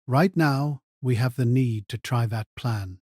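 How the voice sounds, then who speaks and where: A man playing a calculating mage master in an audio drama, giving orders in a measured, authoritative way. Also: mid-range voice, darkish tone, no room sound, studio quality, lightly acted. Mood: calm command.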